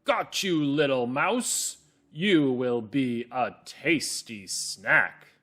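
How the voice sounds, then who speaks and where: A man voicing a mighty, boastful lion king of the forest with a rough, big voice, speaking slowly and pompously for a children's story. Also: medium pitch, expressive, fairly bright tone, full character voice. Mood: menacing. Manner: slow, emphatic, pompous.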